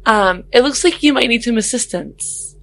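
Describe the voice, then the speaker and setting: A woman's voice: a little high, lively intonation, normal speed, gravelly, fairly bright tone. A woman playing a tabletop roleplaying game, talking in character with hesitant, informal phrasing. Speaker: player in a tabletop roleplaying podcast. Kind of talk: improvised in-character roleplay chat.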